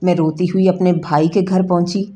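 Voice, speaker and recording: A woman's voice: lowish voice, little pitch movement, dark tone. A woman reading a scripted, emotional first-person story as its narrator. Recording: music bed behind narration. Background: background music.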